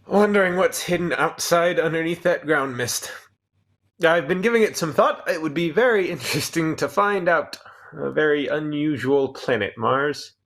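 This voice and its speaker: Man as creaky-voiced, musing space scientist. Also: a little high, normal speed, a bit bright. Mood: musing, fascinated. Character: musing, curious scientist doctor, calm and wry. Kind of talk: cold-read sci-fi radio play acting.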